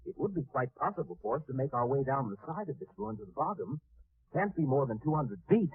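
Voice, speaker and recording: Through a tinny, narrow old radio recording comes an eager expedition crewman. His high male voice is rough and unclear and swings widely as he earnestly points things out, in classic 1940s radio drama style. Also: expressive, fast talker. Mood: alert, observant. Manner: earnest, inquisitive. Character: eager, observant young crewman exploring alien ruins.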